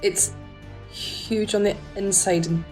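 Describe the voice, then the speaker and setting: A woman's voice: mid-range voice, steady tone, takes it slowly, darkish tone, soft background music, natural voice. A woman playing a plucky, sensible young companion in a sci-fi audio drama, speaking in a casual, understated way. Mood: wry, cautious.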